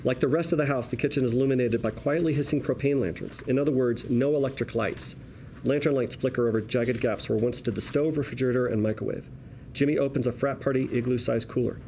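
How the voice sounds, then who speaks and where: Male table-read narrator, warm relaxed tone, measured descriptive delivery of stage directions, faint background noise. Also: mid-range voice.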